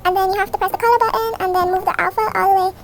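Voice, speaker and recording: Very high-voiced young-sounding woman, casual tutorial. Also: steady tone, racing. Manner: informal, improvised explaining. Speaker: video creator giving a tutorial. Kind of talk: casual step-by-step tutorial voice-over. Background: music.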